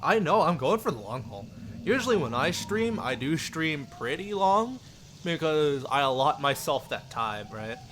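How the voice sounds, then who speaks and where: A man's voice: a little high, big pitch swings, moderate pace, fairly bright tone, a little hiss or hum. A man live streaming with casual, rambling chat, often chuckling as he replies to viewers. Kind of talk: casual live stream chat with chat replies, some read-aloud story narration.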